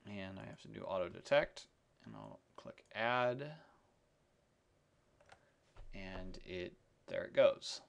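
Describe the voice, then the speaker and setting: Male tutorial presenter, lowish pitch with heavy vocal fry, unscripted and halting, walking through each step of a software demo. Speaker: software demonstrator. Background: keyboard typing and mouse clicks.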